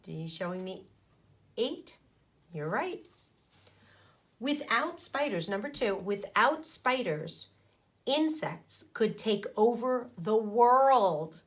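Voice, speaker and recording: Slow-paced woman teacher reading aloud to children. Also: on the high side, sing-song. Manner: didactic, pauses to question the young listeners. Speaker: elementary school teacher. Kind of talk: children's read-aloud with explanatory asides.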